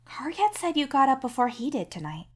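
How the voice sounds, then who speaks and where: A woman voicing a caring, patient young female friend who speaks with gentle concern. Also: sits high, big pitch swings, a little fast, voice pushed up. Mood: worried.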